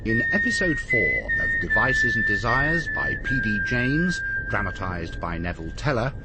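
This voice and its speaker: A man's voice: medium pitch, huge pitch swings, normal speed. British male radio announcer over music. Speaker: continuity announcer reading cast credits. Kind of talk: formal scripted radio announcement. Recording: music behind the voice.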